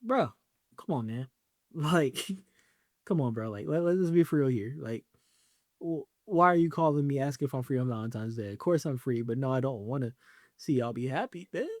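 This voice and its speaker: A man's voice: medium pitch, expressive, moderate pace, no room sound, clean studio sound. A young man hosting his own podcast, talking casually in a loose, joking way with lots of slang and fillers. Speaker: podcast host. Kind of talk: casual solo podcast talk.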